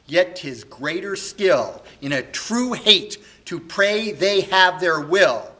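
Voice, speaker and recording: A man's voice: sits high, expressive, slow pace, bright, forceful tone, pitched above the performer's own voice, exaggerated voice. A man playing a heartbroken, furious young husband in a Shakespeare soliloquy, declaiming bitterly and emphatically. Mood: furious, disdainful. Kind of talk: classical stage monologue.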